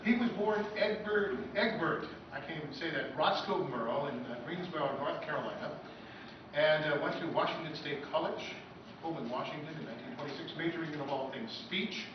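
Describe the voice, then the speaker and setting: A man giving an informal history lecture in an off-the-cuff, halting way, with frequent asides. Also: fairly high pitch. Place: echoey convention room, live talk. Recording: very echoey room, distant mic, dull, noisy.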